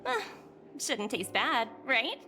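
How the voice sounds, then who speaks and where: A young female executive in a video game, with very lively, swooping intonation. She is polished and self-assured, with a teasing, playful streak. Light music plays behind her. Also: on the high side, takes it slowly, exaggerated voice. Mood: playful, doting. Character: poised, playful young female corporate director.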